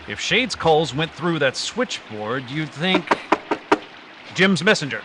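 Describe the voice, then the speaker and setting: An actor playing a decisive, businesslike adventure hero in 1940s radio style, brisk and commanding. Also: male voice, fairly high pitch, sing-song, very noisy.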